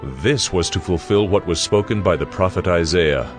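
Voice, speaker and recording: Deep-voiced solemn male narrator. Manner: solemn, measured. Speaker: Bible narrator. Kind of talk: scripted dramatic scripture narration. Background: background music.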